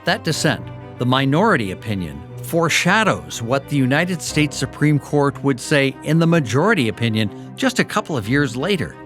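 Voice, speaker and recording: A man hosting a history podcast, narrating in a clear storytelling manner. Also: medium pitch. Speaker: podcast host. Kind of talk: scripted documentary narration.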